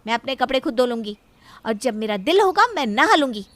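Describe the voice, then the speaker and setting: A woman's voice: on the high side, big pitch swings, brisk, no room sound, pitched above the performer's own voice. A woman voicing a rude, impatient young sister-in-law in a moral story, scolding her elder. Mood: irritated. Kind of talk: Hindi moral story character dialogue.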